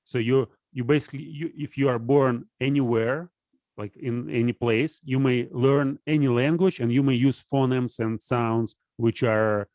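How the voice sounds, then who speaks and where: A man talks through an idea in casual conversation, stopping and restarting often as he searches for words. His voice sits at an ordinary middle pitch. The sound is close and dry, with no room echo. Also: fairly even intonation, a little mellow. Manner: halting, full of restarts and fillers while explaining.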